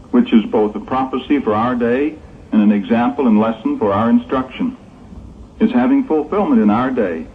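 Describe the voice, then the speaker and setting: Earnest narrator, thin old recording. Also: male voice, mid-range voice. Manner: earnest, formal, emphatic. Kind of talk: scripted religious narration, sermon-like. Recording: old 1960s tape, phone-like band, faint noise.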